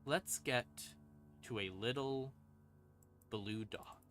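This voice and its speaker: Slow-paced man with a bright, forceful voice. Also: medium pitch. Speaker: streamer explaining game lore. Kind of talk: informal lore explainer, storytelling to stream viewers. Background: eerie ambient music.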